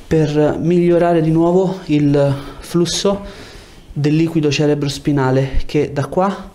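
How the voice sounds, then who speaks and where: A man's voice: a little high, fairly even intonation, slow, warm tone, a little hiss or hum. A man in his thirties, a chiropractor, explaining and giving short clinical instructions and questions to a patient in speech. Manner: short directive questions and prompts. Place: small treatment room, indoors. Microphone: lapel mic.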